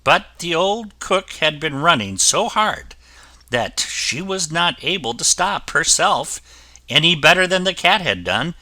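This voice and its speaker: Guy reading a fairy tale, super bright voice, slow, dry close sound. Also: a little high, no echo. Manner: expressive, lively storybook delivery. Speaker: storyteller.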